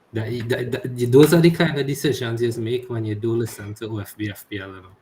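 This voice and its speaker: A man co-hosting a fantasy football podcast, talking in a measured way with dry remarks. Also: mid-range voice, steady tone, dark tone. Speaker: podcast co-host. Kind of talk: casual chat about fantasy football. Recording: echoey room with faint noise.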